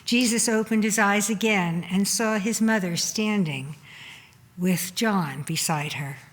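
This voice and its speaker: A woman's voice: mid-range voice, unhurried. A woman reading solemn scripted narration at a church service.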